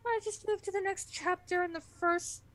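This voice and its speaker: A woman with a high voice trades casual comedic banter as a co-host. She sounds sarcastic and put-upon, with an exasperated edge to her remarks. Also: fairly even intonation, moderate pace, fairly bright tone. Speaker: podcast co-host and voice reader.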